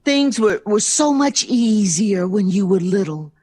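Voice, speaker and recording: A woman's voice: mid-range voice, lively intonation, unhurried, a little mellow, slightly rough. An American actress playing a hurt, loving middle-aged mother in an audio drama, emphatic and emotionally open. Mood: wounded, pleading.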